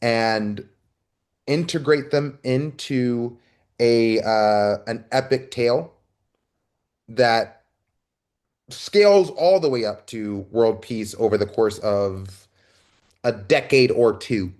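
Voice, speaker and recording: A man interviewing a guest, a self-described storyteller who asks his questions in a deliberate, word-searching way. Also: mid-range voice, a little mellow. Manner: slow and deliberate, searching for words. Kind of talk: conversational interview.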